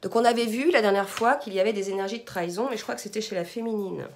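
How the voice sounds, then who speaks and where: Hoarse woman reading cards. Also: mid-range voice, very fast. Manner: soft-spoken, addresses viewers with "d'accord?"; murmurs requests to her guides. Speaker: tarot card reader. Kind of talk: spiritual card reading to viewers.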